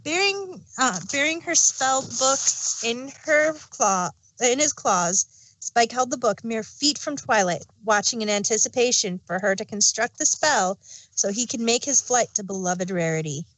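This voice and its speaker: A woman's voice: fairly high pitch, animated, unhurried, a bit bright, no room sound, rough recording. A woman reading fan fiction aloud as an amateur storyteller and narrator.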